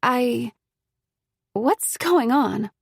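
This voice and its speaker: Eager young woman, bright and breathless, read by a woman narrator, higher than the narration. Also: expressive, extremely slow, vocal fry, breathy edge, no echo, clean studio sound, full character voice. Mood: earnest.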